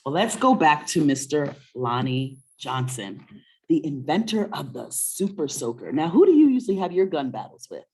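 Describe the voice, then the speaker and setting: A middle-aged woman with a low-pitched voice hosts a story time for children. She speaks in a casual, conversational way. She is encouraging and often puts questions to her young co-host. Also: very animated, moderate pace, a little mellow, breathy edge.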